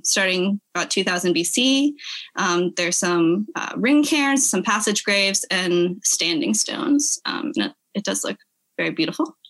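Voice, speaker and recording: Bright-voiced woman chatting and reading aloud. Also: mid-range voice, rough recording. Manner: amused, giggly. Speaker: podcast guest. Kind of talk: casual chat with dramatic readings of online reviews.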